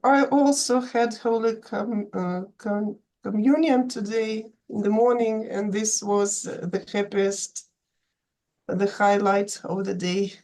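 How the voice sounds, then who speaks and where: A woman talks casually on a group video call. Her voice is of medium pitch. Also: fairly even intonation, slow pace, darkish tone, poor audio. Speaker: meeting participant. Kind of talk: casual chat.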